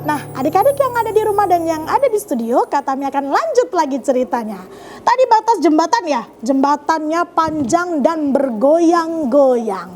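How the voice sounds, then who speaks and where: A woman with an extremely high voice tells a story to children. She addresses her young audience directly with questions and exclamations, over faint music. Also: big pitch swings, moderate pace, darkish tone.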